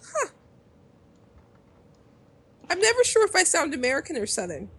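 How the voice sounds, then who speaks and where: A woman's voice: very high voice, wildly swooping pitch, moderate pace, fairly bright tone. A woman with a Chicago accent, co-hosting a casual podcast chat, talking freely and trailing off between thoughts. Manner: chatty, trailing off and restarting. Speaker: podcast co-host.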